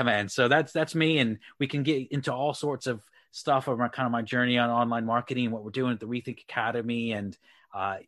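A man's voice: medium pitch, fairly bright tone, no room sound, studio quality. A man, a guest coach giving casual, friendly interview answers full of fillers. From Texas, USA. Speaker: guest, online marketing coach. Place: lives near Leeds, UK.

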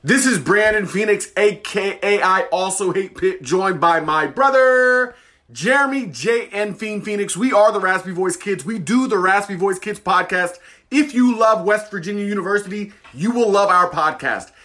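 A man's voice: sits high, normal speed, bright, forceful tone. A man who hosts a podcast, delivering a scripted promo for his show.

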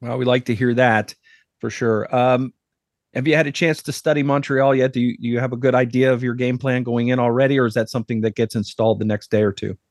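A man's voice: medium pitch, fairly even intonation, quick pace, a little mellow, no room sound, studio quality. A man hosting a podcast, interviewing a guest and chatting with his co-host in an upbeat, chatty way.

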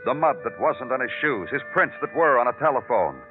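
An actor playing a dry, dogged police detective in an old-time radio crime drama, terse and hard-boiled. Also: male voice, mid-range voice, animated, brisk, music in the background, lightly acted. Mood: methodical, brooding. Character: dry, dogged male police detective narrating his own case. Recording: tinny old radio recording.

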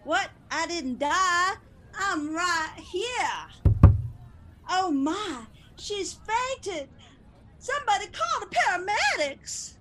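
Casting: actress as self-important older TV diva, high swooping voice, unhurried, theatrical radio comedy. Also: female voice, sing-song, bright, forceful tone, a little hiss or hum, exaggerated voice. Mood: alarmed. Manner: theatrical, grandiose. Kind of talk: comedic radio sketch acting.

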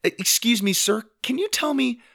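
A man's voice: extremely high pitch, lively intonation, normal speed, sharp tone, no echo, studio quality, voice pushed up, full character voice. A man voicing a sensible, bossy young girl in a children's story, cautious and insistent. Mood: flustered, insistent.

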